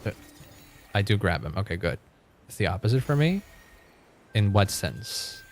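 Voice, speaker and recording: A man's voice: lowish voice, very animated, moderate pace, a little hiss or hum. A man livestreaming a game, chatting casually with viewers in a rambling, informal way with some mild swearing.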